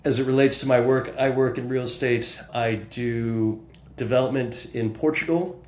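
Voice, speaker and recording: A man's voice: mid-range voice, steady tone, normal speed. American man in an echoey room. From United States, New Jersey. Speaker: podcast guest, real estate broker. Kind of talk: conversational self-introduction.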